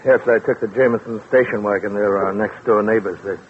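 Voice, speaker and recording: A man's voice: lowish voice, steady tone, brisk, husky. A man acting an anxious, trusting fugitive, hesitant and subdued, in a 1940s radio drama. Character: anxious, trusting male fugitive, dazed and submissive. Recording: muffled, noisy vintage radio recording.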